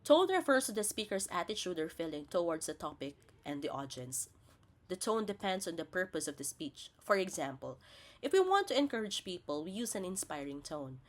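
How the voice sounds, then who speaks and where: Woman, slightly low pitch, highly varied intonation, scripted lesson narration, didactic teacher delivery. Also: sing-song, a little fast.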